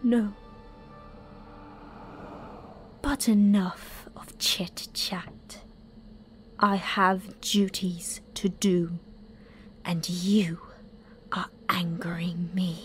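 A woman playing a menacing, ancient and bitter Mother Superior in an audio drama, with deliberate delivery that often sinks toward a whisper. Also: on the low side, fairly even intonation, a little hiss or hum. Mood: menacing, angry. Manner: slow, deliberate, breathy near-whispers. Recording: music under the voice.

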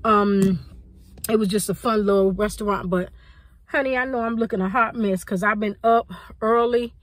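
A woman in her forties talking casually to her phone in a parked car, rambling and animated, gesturing with her hands. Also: medium pitch, normal speed, dry recording. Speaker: vlogger. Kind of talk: casual chatty vlog. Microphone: phone at arm's length.

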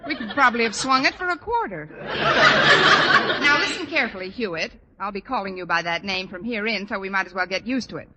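Actress as wisecracking schoolteacher, animated and echoey. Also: female voice, mid-range voice, a crowd in the background, natural voice. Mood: mocking. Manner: dry, sarcastic, fast-talking when cornered. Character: wisecracking, scheming schoolteacher, adult woman. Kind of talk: 1940s radio sitcom acting. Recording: noisy old radio recording, long hall reverb.

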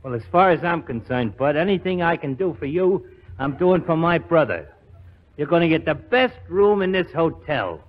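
A man acts out a brisk hotel desk clerk with a quick wisecrack, in old radio comedy style. His voice sits fairly high, and the 1940s recording is dull with a long reverb. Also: expressive, natural voice.

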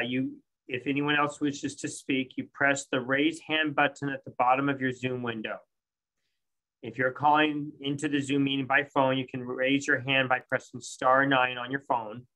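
A man's voice: medium pitch, fairly even intonation, moderate pace, sharp tone, low-quality recording. A man chairing a council meeting over a video call, calling speakers in a procedural way and stumbling over names. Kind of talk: chairing public comment.

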